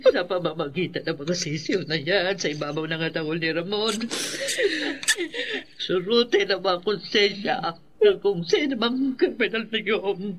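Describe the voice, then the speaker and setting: High-voiced, solemn avenging man. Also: unhurried, a bit bright. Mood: grim, solemn. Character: solemn man vowing to trouble a killer's conscience. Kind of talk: radio drama acting. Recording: dull sound with faint noise and clicks.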